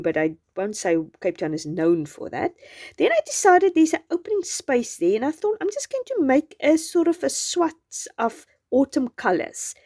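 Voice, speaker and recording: A South African woman whose first language is Afrikaans, chatting gently through a journaling craft voice-over. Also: medium pitch. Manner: gentle, chatty, meandering with restarts. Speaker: journaling channel host.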